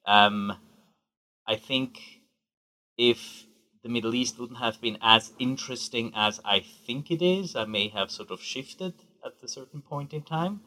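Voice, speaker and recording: A middle-aged man from Switzerland speaks as a guest author on a podcast. His voice sits low, yet its tone is bright. He fills his answers with hesitations and small hedges. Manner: hesitant, many fillers and hedges. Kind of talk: podcast interview.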